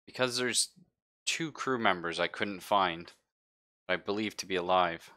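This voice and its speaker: A man improvising as game master of a tabletop roleplaying game, halting as he thinks aloud. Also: sits low, sharp tone.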